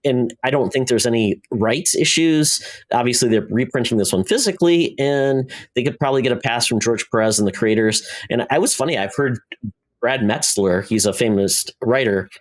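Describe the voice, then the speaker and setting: A man's voice: medium pitch, moderate pace. A man hosting a podcast, talking casually about pop culture in a conversational way. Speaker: podcast host.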